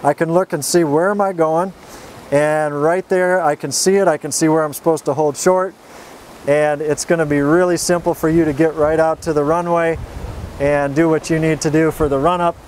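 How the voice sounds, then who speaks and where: Higher-pitched man tutoring over outdoor noise. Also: fairly even intonation, moderate pace, a little mellow. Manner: straightforward, folksy, step-by-step explaining. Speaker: flight instructor. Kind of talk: informal spoken tutorial.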